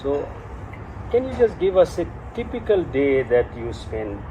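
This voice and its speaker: A man interviews a guest in an informal chat, often restarting his sentences and leaning on fillers. His voice sits at an ordinary middle pitch. Constant noise runs under the recording. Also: sing-song, unhurried, dull audio. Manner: hesitant, many restarts and fillers. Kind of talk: casual interview.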